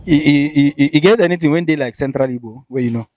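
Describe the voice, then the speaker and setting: A man's voice: on the high side, fast, darkish tone, no room sound, studio quality. A man with a Nigerian Pidgin accent interviewing someone, asking probing questions and repeating them. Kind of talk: casual street interview.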